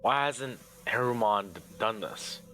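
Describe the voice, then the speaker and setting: A man's voice: lowish voice, measured pace, sharp tone, faint music behind, poor audio. A man playing in a tabletop game, asking questions in character.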